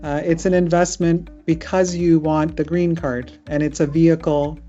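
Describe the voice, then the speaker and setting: A woman's voice: deep voice, little pitch movement, normal speed, dark tone, faint music behind. A middle-aged woman hosting a webinar as an immigration lawyer, chatting casually with many fillers. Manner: chatty, with frequent fillers and laughs. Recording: muffled lo-fi video call audio.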